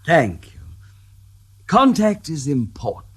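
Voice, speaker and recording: An actor playing a mysterious male clairvoyant in a radio drama, speaking in hushed, breathy tones with long pauses. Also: a little high, huge pitch swings, full character voice.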